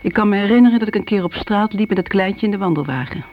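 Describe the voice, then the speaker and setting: A woman's voice: mid-range voice, expressive, fast, close to an everyday voice. An actress plays a middle-aged mother thinking aloud in a radio drama. She is chatty and a little plaintive, justifying herself as she reminisces. The old recording is dull, with a light hiss. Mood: fond, defensive. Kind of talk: radio play monologue, conversational reminiscing.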